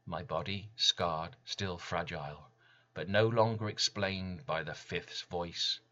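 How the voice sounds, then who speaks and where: A man reading his poem as dramatic spoken word, deliberate and intense, with weighty pauses. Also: low-pitched, sharp tone, highs cut off. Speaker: poet reading own work.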